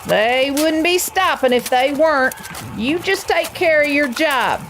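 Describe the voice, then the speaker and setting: A woman's voice: high voice, expressive, measured pace, fairly bright tone, heavily acted. An actress playing a bossy, ruthless female boss of cattle rustlers in a western radio drama. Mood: commanding, ruthless. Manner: bossy, commanding. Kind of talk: old-west radio drama acting. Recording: noisy background with ambience.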